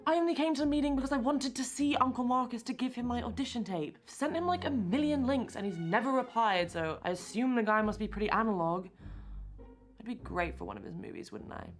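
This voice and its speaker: A woman's voice: on the high side, fairly quick, faint music behind, lightly acted. An actress playing a flippant, self-absorbed young party girl in an interactive film, talking in a breezy, evasive way. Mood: breezy, self-justifying. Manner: breezy, casual, babe-dropping, evasive. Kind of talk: naturalistic screen acting in an interactive film.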